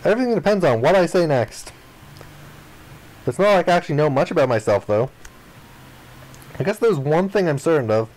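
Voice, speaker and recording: A man with a somewhat higher-pitched voice reads story text aloud from a visual novel. He dramatizes the dialogue, performing the lines as part of a game playthrough. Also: lively intonation, fairly quick, pauses often, darkish tone, low-quality recording. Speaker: gaming video player reading aloud.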